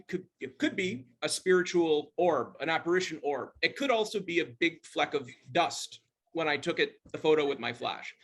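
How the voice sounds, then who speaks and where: A man's voice: on the high side, a bit bright. A middle-aged man, casually telling a personal story on a video call. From Montreal, Canada. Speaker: club member telling a personal ghost story.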